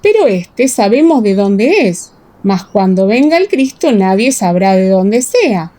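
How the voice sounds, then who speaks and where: A woman's voice: mid-range voice, big pitch swings, unhurried, mellow. A woman voicing a wondering townsperson of ancient times in a Bible radio play, questioning and theatrical. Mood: puzzled.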